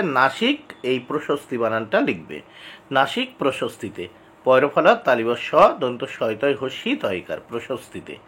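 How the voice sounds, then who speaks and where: A man giving an instructional exam lesson, reading out questions and answers deliberately, repeating key points and addressing students directly. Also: on the high side, a bit bright, breathy edge. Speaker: exam tutor.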